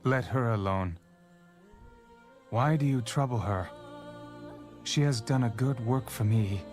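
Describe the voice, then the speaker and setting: An actor playing a solemn, authoritative male teacher and prophet in a dramatized audio Bible, speaking in measured, grave declarations. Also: medium pitch, natural voice. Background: background music.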